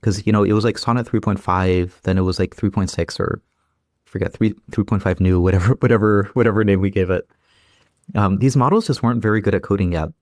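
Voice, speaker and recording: A man who grew up in Ukraine, a guest in a casual interview, talking in halting bursts full of restarts and fillers. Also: lowish voice, darkish tone, no echo, studio quality. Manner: halting, restarts often, many "like" and "you know". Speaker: guest, engineering lead.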